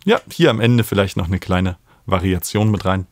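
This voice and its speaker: A man, explaining music software as an instructor in a tutorial. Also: low-pitched, lively intonation, moderate pace. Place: small home studio, indoors. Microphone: studio microphone up close.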